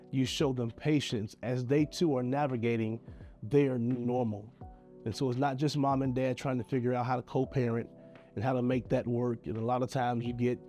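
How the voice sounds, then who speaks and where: A man's voice: mid-range voice, dark tone. A man, congested and nasal from a sinus infection, speaking earnestly to camera with a heartfelt plea to parents. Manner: earnest, pleading, measured. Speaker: video creator giving advice. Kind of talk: earnest direct-to-camera message.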